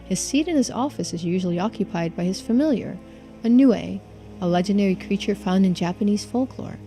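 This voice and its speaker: A woman's voice: medium pitch, lively intonation, a little fast, mellow. Woman streamer reading aloud over music. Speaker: streamer playing and voicing a game. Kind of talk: reading game text aloud with casual commentary.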